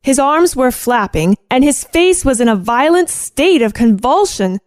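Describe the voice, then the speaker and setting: A woman acts an earnest, inquisitive teenage girl in an old-fashioned radio play. Her voice sits fairly high. She speaks formally and articulately, as a proper young storyteller and companion to a detective. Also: sing-song, unhurried, no echo, clean studio sound. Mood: storytelling. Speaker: narrator and sidekick.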